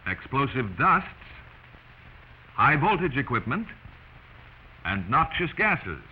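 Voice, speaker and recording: An American man reading scripted instructional narration in the deliberate manner of a 1940s training film. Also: medium pitch, very animated. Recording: dull noisy 1940s film soundtrack.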